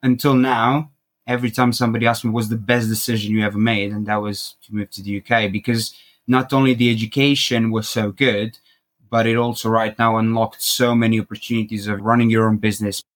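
A young man from Croatia, a podcast guest, chatting casually and telling an animated travel story, laughing at himself and acting out quotes. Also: on the low side, brisk.

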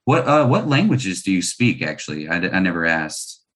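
A male interviewer with a very low voice, keeping the talk relaxed and casual. He asks loose, rambling questions and keeps the guest going with short, encouraging backchannels. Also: moderate pace.

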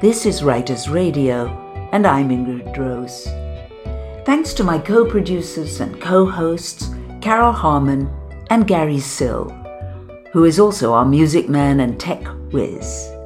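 A woman's voice: sits low, expressive, slow pace, a little mellow. A woman presenting as a radio show host in a scripted announcing style. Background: faint music.

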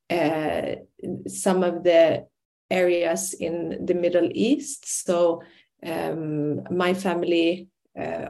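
A young adult woman from Sweden speaks as a podcast guest, her delivery unhurried and halting, full of fillers and restarts. Her voice is mellow and sits a little low. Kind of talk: reflective interview talk.

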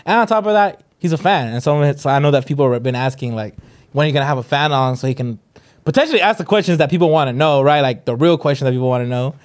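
A man's voice: medium pitch, expressive, quick pace. A young man co-hosting a podcast, telling a story in laid-back slang-heavy casual chat. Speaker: podcast co-host.

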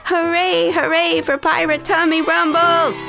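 A woman voicing excitable pirates in a children's story, exclaiming loudly and repeatedly. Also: very high-pitched, unhurried, creaky, over music, voice pushed up, heavily acted. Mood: jubilant. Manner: loud, exclaiming, repetitive. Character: excitable crew of pirates, shrieking and cheering.

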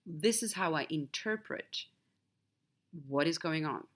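A woman's voice: low-pitched, lively intonation, slow pace. A woman whose first language is Swedish hosts a podcast, telling a personal story in a candid, reflective solo monologue. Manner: candid, storytelling, wide swings in emphasis. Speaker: podcast host.

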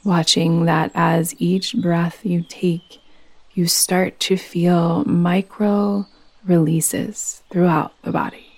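A woman leading a guided meditation as a yoga nidra teacher, speaking in a soothing, gentle, unhurried manner. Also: lowish voice, level tone.

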